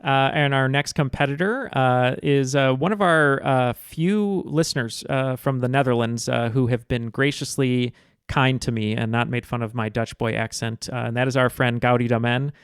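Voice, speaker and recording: A man hosts a trivia podcast in a casual, chatty way. His voice is smooth and clear, with lots of "uh" fillers. The sound is dry and close. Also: medium pitch, moderate pace, dry recording. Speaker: podcast host. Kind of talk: casual quiz show hosting. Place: home, Chicago.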